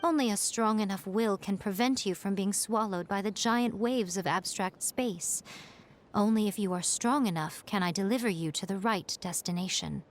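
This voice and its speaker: A woman voicing a sly, elegant fox-spirit shrine familiar in a video game, poised and lofty with deliberate, teasing phrasing. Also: mid-range voice, a little hiss or hum, lightly acted. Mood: composed, instructing. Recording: game music underneath. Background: game music.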